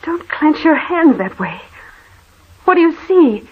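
An actress playing a frightened, anxious wife in an old radio horror drama, fretful and pleading. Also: female voice, high voice, sing-song, slow, exaggerated voice. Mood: alarmed pleading. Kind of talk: vintage radio horror acting. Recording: tinny, hissy old-time radio recording.

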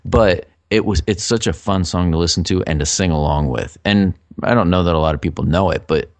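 A middle-aged man with a low voice, co-hosting a casual podcast chat in a chatty, rambling way. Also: fairly quick, a little mellow, no room sound. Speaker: podcast co-host.

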